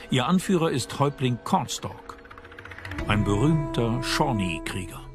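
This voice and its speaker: Man, lower voice, scripted documentary narration, measured and dramatic, background music. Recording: music behind the voice.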